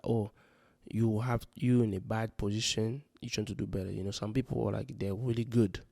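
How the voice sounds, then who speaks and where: Gravelly, low-voiced man giving advice. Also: mellow, no echo. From Congo. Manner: rambling, earnest, many fillers like "you know". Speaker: vlogger giving parenting advice. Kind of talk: casual spoken vlog monologue. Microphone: handheld or close mic.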